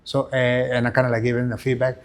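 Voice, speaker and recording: Man, Venezuelan Spanish accent, middle-aged, quick, rambling, many fillers. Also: mid-range voice, monotone, slight background noise. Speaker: fighter and coach. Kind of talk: casual interview answers. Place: gym.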